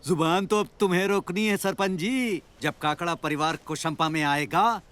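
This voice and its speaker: A man's voice: high voice, moderate pace, a bit bright. An actor playing a defiant village man in a screen drama, taunting and aggressive in his talk. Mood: menacing. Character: defiant, menacing village man threatening the headman.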